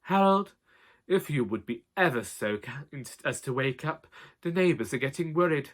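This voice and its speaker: A man's voice: mid-range voice, animated, moderate pace, fairly bright tone. A man voicing a snobbish older housewife from the North of England in a comic story, pompous, over-proper and scolding. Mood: icily polite.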